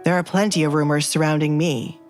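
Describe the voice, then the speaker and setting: A woman's voice: deep voice, fairly even intonation, brisk, a little mellow, soft background music, voice pushed down, natural voice. A woman voicing a cold, composed male duke with a curt, haughty manner in an audio drama. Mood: disdainful.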